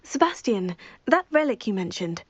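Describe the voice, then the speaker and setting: A woman's voice: mid-range voice, big pitch swings, normal speed, roomy sound, lo-fi sound, music in the background, lightly acted. A woman playing a sympathetic, cautious teenage witch heroine in a video game. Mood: wary. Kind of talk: scripted video game dialogue.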